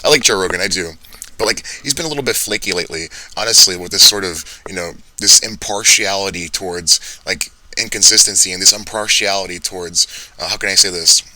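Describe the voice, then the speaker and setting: Deep-voiced young man rambling. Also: a little fast, bright, forceful tone, dry recording, rough recording. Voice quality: deep baritone. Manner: rambling, swears often, laughs at his own jokes. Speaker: vlogger. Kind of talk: rambling monologue. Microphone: handheld or phone mic, speaking softly.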